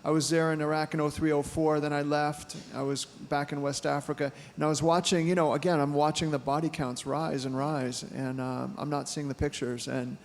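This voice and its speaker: A man's voice: a little high, little pitch movement, normal speed, vocal fry, few pauses. A man of about fifty, a photojournalist answering audience questions in a candid, hesitant way, with many fillers and restarts. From United States. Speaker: photojournalist speaker. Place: brewery event hall. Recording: long reverb in a brewery hall, faint room noise.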